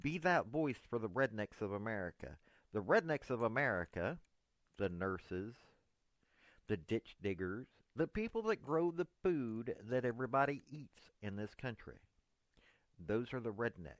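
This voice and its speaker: A man's voice: medium pitch, big pitch swings, moderate pace, no echo. A man in his fifties from East Tennessee with a Southern accent, talking straight to camera in a folksy, meandering, earnest way. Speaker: political commentator. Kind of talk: direct-address monologue to a politician.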